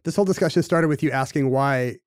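A man co-hosting a podcast in casual banter, quick and curious, explaining facts with jokes. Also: mid-range voice, smooth, dry recording, clean studio sound. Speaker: podcast co-host.

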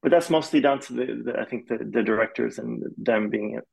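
A man's voice: mid-range voice, a little fast, creaky, slightly rough. A young man, a film composer raised in Malaysia, talking casually in an interview, rambling with lots of fillers. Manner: relaxed, rambling with many "like" fillers.

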